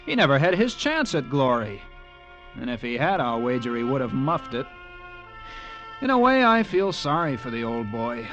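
An actor playing an earnest, bitter young cavalry lieutenant in an old radio drama. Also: male voice, a little high, a bit bright, poor audio. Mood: bitter, scornful. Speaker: actor in a radio drama, also narrating in character. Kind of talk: 1940s-50s radio acting. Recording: old radio recording with music.

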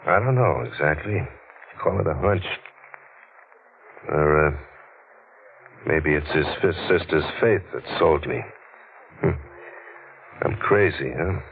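An old, thin-sounding radio broadcast with hiss carries a wry American newspaperman. His voice sits low, and he talks in slangy, sardonic crime-drama style, stubborn and hard-boiled. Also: lively intonation, slow pace, long pauses, narrow, tinny audio, heavily acted. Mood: dry, stubborn. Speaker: lead actor and narrator. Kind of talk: 1940s radio noir acting.

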